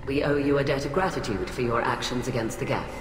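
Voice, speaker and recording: An actress playing a cold, haughty alien admiral in a video game, speaking in a clipped, formal, condescending way. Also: female voice, extremely low pitch, fast. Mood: cool disdain. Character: cold, haughty alien admiral, female, middle-aged scientist, ruthlessly rational. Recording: echoey hall reverb, music behind.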